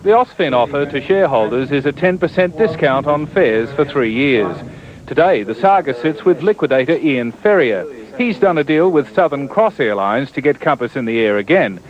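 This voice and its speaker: A man's voice: a little high, normal speed. Matter-of-fact male news reporter. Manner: steady, matter-of-fact narration. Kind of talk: scripted news report voice-over. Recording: dull old broadcast tape.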